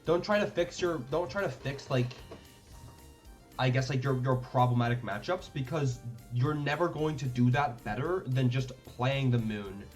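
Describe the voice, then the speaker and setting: A man's voice: medium pitch, normal speed, faint music behind. A young man from Denmark streaming a card game, thinking aloud in casual commentary with lots of fillers and restarts.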